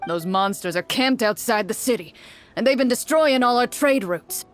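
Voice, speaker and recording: A woman's voice: fairly high pitch, expressive, normal speed, soft background music, close to an everyday voice. A woman putting on a curt, scornful male merchant. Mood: cynical, grudging. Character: gruff, greedy, cynical male city merchant. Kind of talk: video game character acting.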